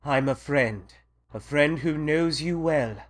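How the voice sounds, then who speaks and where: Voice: mid-range voice, slow. An actor playing a timid, loyal young manservant in an audio drama, hesitant and deferential. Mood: guarded. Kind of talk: audio drama acting of modernized Shakespeare.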